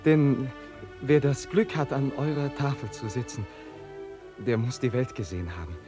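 An actor playing a bashful, eager young courtier with a hesitant, formal way of speaking in a German radio drama. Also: male voice, mid-range voice, steady tone, normal speed, natural voice. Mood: hesitant, earnest. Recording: prominent music under the voice. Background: music.

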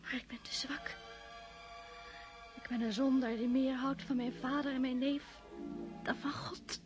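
A devout young woman who pleads in prayer. Her voice sits high, with a soft, pleading manner. Faint music plays beneath. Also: fairly even intonation, a little slow. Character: pious young woman praying in despair. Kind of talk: radio play acting.

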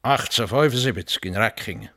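An actor in a radio play voicing a plain-spoken, stubborn old farmer with a Swiss German accent and rustic dialect turns. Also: male voice, on the low side, quick pace, sharp tone. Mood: curt, stubborn. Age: older.

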